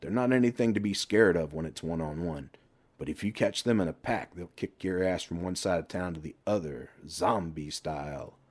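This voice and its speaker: A man's voice: low voice, moderate pace, darkish tone, husky. A man voicing a crude, sarcastic middle-aged best friend who needles and teases in an audiobook story. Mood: blunt advising. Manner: sarcastic, teasing.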